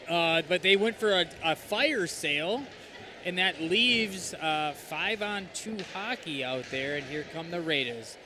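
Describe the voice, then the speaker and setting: A man's voice: fairly high pitch, animated, a little slow, noisy background. A man doing color commentary on a hockey game, chatty and joking in his asides.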